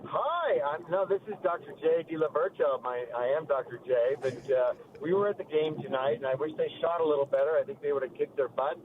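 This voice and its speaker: A man calling in to a radio sports show, a loud and boastful fan. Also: fairly high pitch, animated, brisk, few pauses, a crowd in the background. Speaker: caller. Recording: tinny phone line with faint noise.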